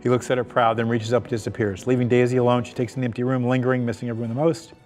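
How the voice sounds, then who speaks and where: A man's voice: mid-range voice, level tone, very fast. A man narrating script directions aloud at a table read. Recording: loud music under the voice. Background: music.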